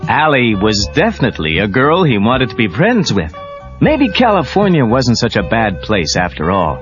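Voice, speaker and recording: A man's voice: mid-range voice, huge pitch swings, moderate pace. A man reading a scripted children's read-along story as narrator. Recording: dull lo-fi cassette tape. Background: prominent music.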